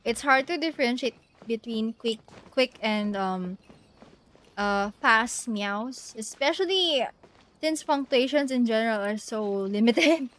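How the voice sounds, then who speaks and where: A woman's voice: a little high, animated, unhurried, a bit bright, slight background noise. A woman streamer thinking aloud in a casual, giggly way as she plays a video game live.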